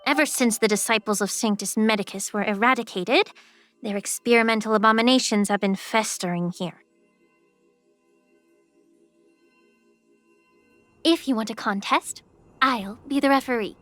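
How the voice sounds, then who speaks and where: Woman voicing a poised, high-voiced lady healer. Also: fairly bright tone, dry recording, lightly acted. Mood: composed, sly. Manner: polite, measured, coaxing. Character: poised, gently sly woman healer and official. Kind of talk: scripted anime-style video game dialogue. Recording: background music under dialogue.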